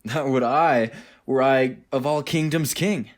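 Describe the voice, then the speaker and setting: A man acting an earnest, lovesick young nobleman in a Shakespearean radio play, speaking verse deliberately. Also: medium pitch, lightly acted.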